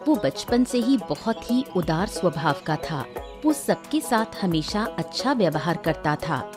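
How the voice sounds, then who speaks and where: A woman's voice: fairly low pitch, sing-song, unhurried. A woman narrating a scripted moral story as a storyteller. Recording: music behind the voice.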